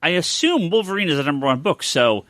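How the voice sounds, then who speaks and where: A man hosting a casual comic book news chat, excitable and emphatic, often repeating his phrases. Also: a little high, quick pace, no echo, studio quality. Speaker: podcast host.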